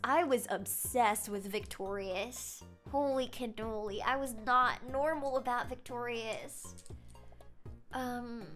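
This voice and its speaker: Chatty high-voiced woman streamer. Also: fairly bright tone. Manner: chatty and rambling, quick laughs. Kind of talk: casual live-stream chat, reading chat questions aloud.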